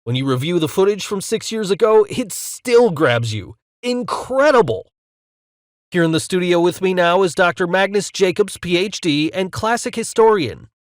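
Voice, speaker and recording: A man acts as a news host in an audio drama, with a high voice that swoops theatrically. The delivery is emphatic and full of wonder, recorded close and dry. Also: big pitch swings, moderate pace, no room sound. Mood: intrigued. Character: excitable male studio news host. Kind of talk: broadcast presenter acting in audio drama.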